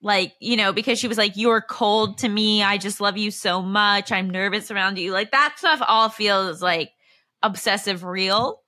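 A woman co-hosting a podcast, speaking in an animated, conversational way, in casual chat and sponsor reads. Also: mid-range voice, normal speed. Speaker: podcast co-host and ad reader.

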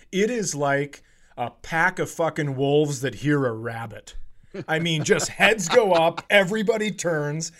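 Higher-voiced American man riffing casually. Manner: sweary, riffing. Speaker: podcast host, comedian. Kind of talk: casual comic chat.

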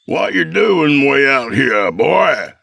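Gruff old storybook country dad, acted by a male narrator, deadpan, creaky and husky. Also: medium pitch, takes it slowly, dry recording, studio quality, pitched above the performer's own voice, full character voice. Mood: wary calling out. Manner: deadpan, folksy, indifferent. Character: thin, scruffy elderly country father, gruff and nonchalant.

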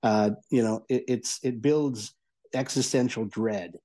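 A man with a mellow, relaxed voice talks as a guest reviewer. His delivery is conversational and halting, doubling back on words to stress his points. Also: mid-range voice.

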